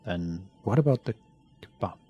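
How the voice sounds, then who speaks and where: A man with a husky voice, co-hosting a game playthrough in a laid-back way, chatting and reading options aloud. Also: sits low, lively intonation, normal speed, mellow, dull audio, slight background noise. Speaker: let's play co-host.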